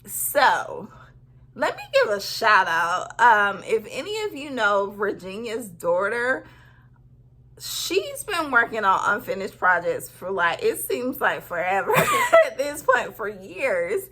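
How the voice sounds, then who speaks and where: A woman's voice: mid-range voice, huge pitch swings, slow pace, sharp tone. A woman in her thirties, a sewing vlogger, chatting casually and giggling often as she talks to camera. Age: 30s. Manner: giggly, bubbly, lots of "like". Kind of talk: casual chat.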